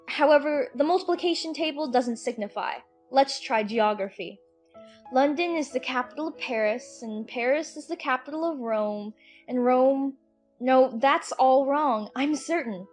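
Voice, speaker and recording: A woman playing a curious, chattering young girl who muses aloud to herself in a dramatized audiobook. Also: sits high. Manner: talking to herself, musing aloud. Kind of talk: dramatized audiobook character acting.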